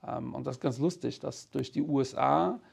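A man's voice: mid-range voice, moderate pace, creaky, darkish tone, breathy edge. A man, telling stories casually and candidly in colloquial language. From Cologne, Germany. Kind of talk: casual conversational storytelling.

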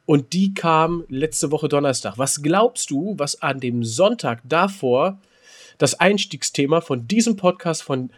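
A man's voice: a little high, lively intonation, measured pace. A man chatting casually as a podcast co-host, telling stories in a colloquial, emphatic way. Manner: colloquial, emphatic, mild swearing. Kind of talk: casual podcast chat and storytelling.

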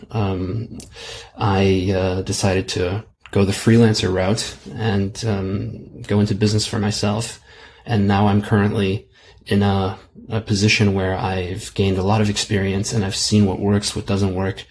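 A man's voice: lowish voice, darkish tone, a little hiss or hum. A man being interviewed as a guest, talking casually over a video call and hesitating with many fillers. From Germany.

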